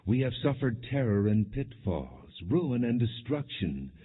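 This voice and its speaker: Male audiobook narrator, grave scripture reading, husky and unhurried, narrow tinny phone-like sound. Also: mid-range voice, very animated.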